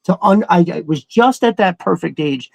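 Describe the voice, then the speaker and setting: A man's voice: high voice, expressive, moderate pace, vocal fry, dry recording. A man chatting casually as a podcast co-host, enthusiastic and rambling, with some swearing thrown in. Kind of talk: casual chat about cartoons.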